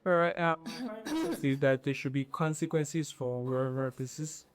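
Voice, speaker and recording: A man on a panel, giving his final thought in a subdued, slow way. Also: on the high side, lively intonation.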